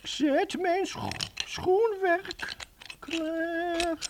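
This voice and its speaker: A man playing an excitable, absent-minded elderly professor in a children's audio drama, fussy and stammering, repeating his words. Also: extremely high pitch, drawn-out words, very noisy, full character voice. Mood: cheerful, fussy.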